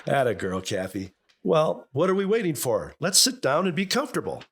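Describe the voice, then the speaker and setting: A man's voice: a little high, huge pitch swings, moderate pace, noisy background. An actor playing an earnest, firm middle-aged father in a vintage radio family sitcom. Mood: jovial. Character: genial, authoritative middle-aged suburban father. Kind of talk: 1950s radio sitcom acting. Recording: vintage radio broadcast with music behind.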